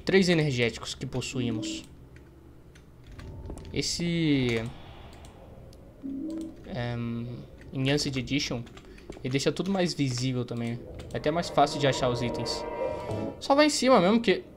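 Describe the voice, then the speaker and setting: A man's voice: medium pitch, expressive, a little slow, pauses often, music in the background. A young Brazilian man giving casual, slangy, joking gameplay commentary in a rambling way into a close desk microphone. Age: young adult. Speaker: gaming streamer. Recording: noisy, game ambience and typing. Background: game ambience, keyboard typing.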